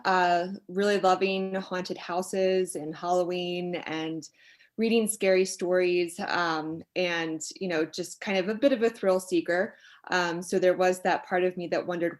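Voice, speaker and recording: Lowish-voiced woman explaining thoughtfully. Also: measured pace. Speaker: expert guest, sociologist and author. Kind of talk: conversational explanation in an interview.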